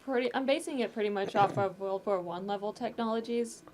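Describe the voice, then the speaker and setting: A woman guest on a podcast, casually explaining the background of her audio drama in a measured way. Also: mid-range voice, darkish tone, slight background noise. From Texas. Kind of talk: casual chat.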